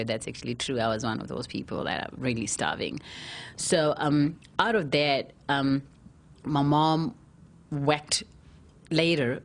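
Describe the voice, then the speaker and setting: A young woman with a very deep, low voice shares her past in an unhurried, candid way, sprinkling in "you know" as she goes. She is from South Africa and speaks as a fashion model being interviewed. A faint hum of room ambience sits behind her. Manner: candid storytelling, many "you know" fillers. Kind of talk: casual interview.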